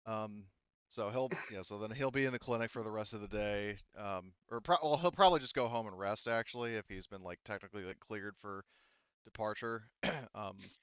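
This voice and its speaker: A man's voice: lowish voice, brisk, fairly bright tone, clean tone, dry recording, lo-fi sound. A man casually narrating a tabletop roleplaying game as game master, rambling and often rephrasing himself mid-sentence.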